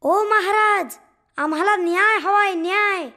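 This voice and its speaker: Very high, indignant little animal. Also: female voice, unhurried, over loud music. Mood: pleading. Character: small indignant animal, earnest and argumentative. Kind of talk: children's animated fable acting.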